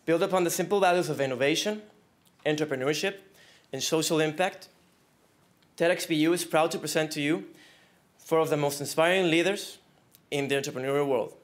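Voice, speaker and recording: A man's voice: fairly high pitch, a little fast, long pauses. A young man introducing the event and its first speaker, reading a formal script. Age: young adult. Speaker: event host.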